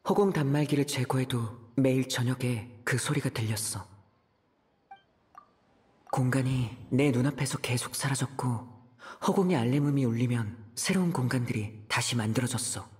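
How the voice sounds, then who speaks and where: An actor voicing a thoughtful young traveler in a game, reasoning through a mystery in a measured, pensive way. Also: male voice, medium pitch, a little mellow, close to an everyday voice. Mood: pensive, puzzled. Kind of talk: scripted game dialogue.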